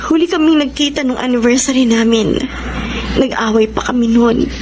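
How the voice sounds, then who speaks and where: A woman's voice: a little high, moderate pace, darkish tone, slight background noise, natural voice. An actress with a Filipino accent playing a hurt, devoted young girlfriend in an emotional radio drama. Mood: resigned, sad.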